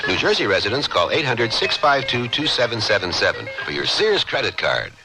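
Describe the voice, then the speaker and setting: A male announcer reads a scripted radio commercial over faint music. His voice is medium-pitched with very lively intonation. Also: a little fast, low-quality recording.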